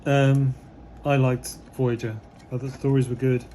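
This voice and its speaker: A man who is losing his voice a little talks casually through unboxing a model kit. His slightly hoarse voice sits at an ordinary pitch, and he rambles along with plenty of fillers. A faint hiss of background noise runs under the recording. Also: moderate pace. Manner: relaxed and rambling, many fillers.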